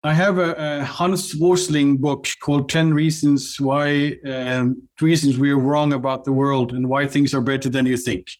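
A middle-aged man speaks English with a Norwegian accent, his voice sitting in a middle pitch. He talks in a relaxed, halting way, breaking off and restarting his sentences as an interview guest. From Norway. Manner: halting, many restarts and fillers. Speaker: guest, company cofounder. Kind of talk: casual interview chat.

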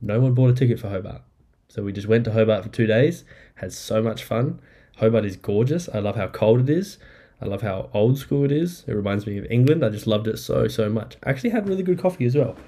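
A man hosting a casual solo podcast, rambling and upbeat, a bit nasal and croaky from the flu, recorded on a laptop mic in an apartment. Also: on the low side, lively intonation, fairly quick, dark tone. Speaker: podcast host. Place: apartment indoors. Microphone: laptop microphone.

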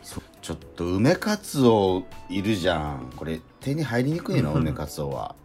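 Very low-voiced man chatting on radio. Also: huge pitch swings, normal speed, darkish tone, faint music behind. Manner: agreeable, frequent backchannels and follow-up questions. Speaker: radio show host and actor. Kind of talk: casual radio chat.

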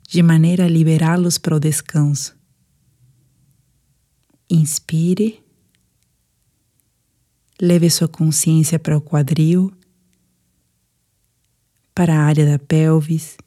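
Brazilian lady doing a sleep meditation, low warm voice, real gentle and unhurried, soothing. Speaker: guided meditation narrator. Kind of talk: guided sleep meditation, scripted narration.

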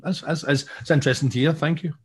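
A man's voice: fairly high pitch, fairly even intonation, quick pace, breathy edge. A Scottish man co-hosting an interview, joking and restarting his words as he talks. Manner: jokey, repeats words when starting. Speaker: interview co-host. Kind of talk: casual interview chat.

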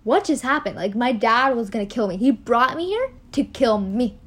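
A woman's voice: on the high side, lively intonation, normal speed, slightly rough. A woman voicing an anxious, bewildered teenage son who stammers questions at his father in casual modern phrasing.

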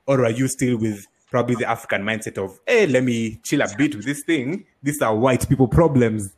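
A man hosting a podcast, asking a guest relaxed questions. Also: mid-range voice, a little fast, breathy edge. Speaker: podcast host. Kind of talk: interviewing on a podcast.

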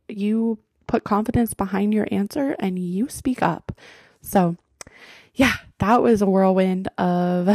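A young woman hosting her own podcast, chatting casually and filling her talk with likes and ums. Her voice is mellow with a gravelly edge, recorded dry and close. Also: mid-range voice, dry recording. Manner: chatty, with frequent fillers and laughs. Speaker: podcast host.

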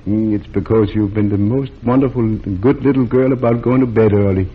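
An actor playing a kind, calm small-town doctor who speaks gently and reassuringly, in 1940s radio acting. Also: male voice, lowish voice, fairly quick, breathy edge. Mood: gentle. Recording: muffled old radio broadcast.

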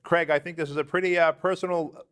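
A man from Armenia co-hosting a podcast in a studio, telling stories in an animated, casual way. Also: fairly high pitch, fast talker. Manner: animated storyteller, upbeat show opener. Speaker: podcast co-host.

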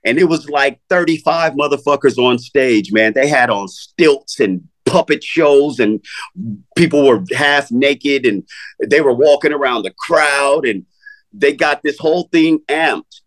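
A man's voice: medium pitch, measured pace. A middle-aged man, a rapper, talking casually in an interview, laid-back, swearing freely and filling his talk with "you know" and "man.".

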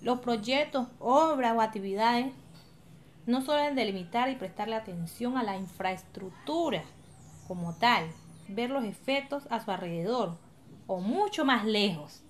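A Colombian community leader played by a woman speaks in a fairly high voice. She is patient and encouraging, explaining in colloquial radio-drama style. Noticeable ambient noise sits behind her. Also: unhurried, close to an everyday voice. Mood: earnest. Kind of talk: educational radio drama acting.

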